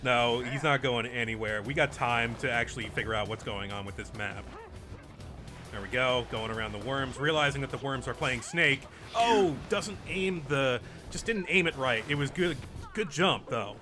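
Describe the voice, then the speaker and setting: A man's voice: medium pitch, moderate pace, over music. A man giving casual commentary as he hosts a video game playthrough. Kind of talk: casual let's play commentary.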